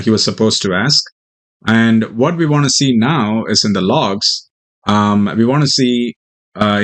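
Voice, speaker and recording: A man explaining technical material as a software developer, speaking hesitantly with frequent ums and pauses. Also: fairly low pitch. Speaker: software developer presenting a demo. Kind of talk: tech tutorial walkthrough and mock interview answers.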